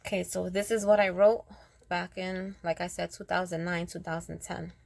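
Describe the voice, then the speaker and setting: A woman with a naturally raspy voice, a little rougher than usual, speaking earnestly to her listeners and reciting her own spoken word poem. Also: lowish voice, little pitch movement, normal speed, a little mellow, slight background noise. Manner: earnest, direct, addresses listeners as y'all. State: raspier than usual, throat slightly rough.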